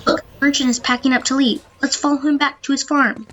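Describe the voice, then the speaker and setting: Woman playing a clever, high-voiced scheming monk. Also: steady tone, fairly quick, fairly bright tone, a little hiss or hum. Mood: plotting. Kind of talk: amateur audio play acting.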